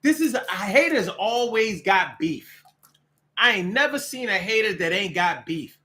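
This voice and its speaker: A man's voice: sits high, expressive, unhurried, pushed and bright. An American man giving a casual, opinionated solo monologue in streetwise slang, repeating phrases for emphasis. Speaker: solo show host.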